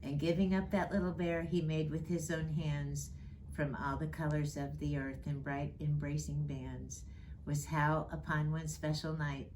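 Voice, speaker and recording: Woman, deep-pitched, children's storybook narrator, expressive measured verse reading, some background noise. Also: low-quality recording. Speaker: storybook reader in character as Mrs. Claus.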